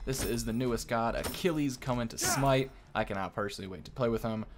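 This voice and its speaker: A man's voice: mid-range voice, quick pace, background noise. A young man hosting a gaming video with casual, informal commentary, often thinking aloud as he plays.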